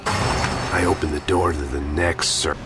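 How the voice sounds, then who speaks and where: An actor playing a cynical, hard-drinking middle-aged ex-cop, narrating a video game in dry noir voice-over. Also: male voice, very deep voice, steady tone, measured pace. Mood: grim. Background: game score music.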